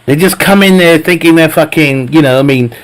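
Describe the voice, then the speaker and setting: A man's voice: a little high, a little fast. A man chatting casually with his live stream viewers, swearing a lot and laughing often at his own jokes. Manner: profane, jokey banter, laughs often. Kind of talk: casual chat with viewers while gaming.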